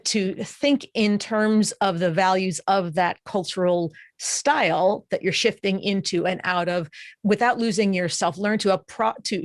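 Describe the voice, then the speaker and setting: A woman's voice: fairly low pitch, dry recording, clean studio sound. An American woman hosting a podcast interview, explaining ideas at length and stringing together examples in a thoughtful, reflective way. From United States. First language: English. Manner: thoughtful, explanatory, lists examples with frequent "et cetera". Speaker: podcast host.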